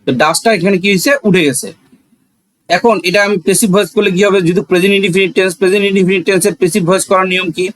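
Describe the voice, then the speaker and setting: High-pitched Bangladeshi male teacher. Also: little pitch movement, racing. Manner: didactic, repeats key phrases. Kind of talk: explanatory grammar lesson with rhetorical questions.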